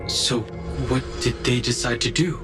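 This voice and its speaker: A man voicing an earnest, serious young adventurer in a video game, with a deliberate delivery. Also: medium pitch, steady tone, over music, lightly acted. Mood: earnest, questioning.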